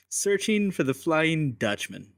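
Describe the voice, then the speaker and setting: A man playing a cocky, higher-voiced agent. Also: no echo, clean studio sound, exaggerated voice. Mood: sardonic, defiant. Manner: sarcastic, teasing, theatrical. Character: cocky, sardonic male English secret agent, unflappable. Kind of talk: full-cast audiobook acting.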